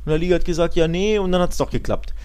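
A man's voice: on the high side, fast talker, mellow, smooth. A man co-hosting a sports podcast, chatting casually and thinking aloud in a conversational, slightly hesitant way. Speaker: football podcast co-host. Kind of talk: casual chat, sports commentary.

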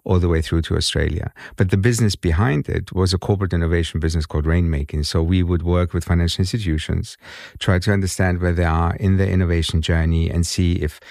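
Deep-voiced man, British-German accent. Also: steady tone, vocal fry, darkish tone, no echo, studio quality. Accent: mixed British and German. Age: 50s. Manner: frequent restarts and repeated words, measured storytelling. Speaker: guest. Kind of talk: conversational interview.

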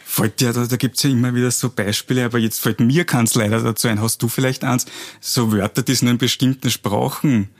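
A man of medium pitch hosting a casual interview. He asks his questions hesitantly, searching for words mid-sentence. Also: fairly even intonation, a little fast, hardly any pauses. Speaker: podcast host.

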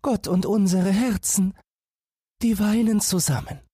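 An anxious little girl from a storybook, as a male narrator puts her on. Her voice is pitched very high and husky. She sounds tender and pleading, devoted to her brother. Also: measured pace, dark tone, no echo, studio quality. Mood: sorrowful.

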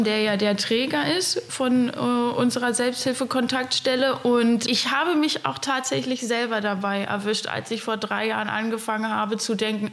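A woman giving conversational interview answers as a self-help organisation worker. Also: on the high side.

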